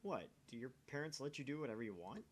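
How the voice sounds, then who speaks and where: A man's voice: mid-range voice, sing-song, fast talker, slight background noise, close to an everyday voice. A man voicing a brash, nosy young male scientist in a visual novel, with a dramatic, teasing delivery. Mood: nosy, incredulous.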